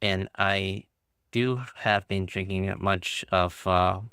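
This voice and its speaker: Deep, rough-voiced male game streamer. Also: steady tone, bright, forceful tone, no room sound, studio quality. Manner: halting, rambling. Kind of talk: casual livestream chat. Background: game music.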